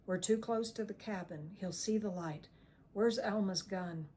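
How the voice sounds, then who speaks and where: A woman's voice: mid-range voice, unhurried, dark tone, slight background noise, natural voice. A woman voicing a wounded, pleading young man. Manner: urgent, pleading, breathless.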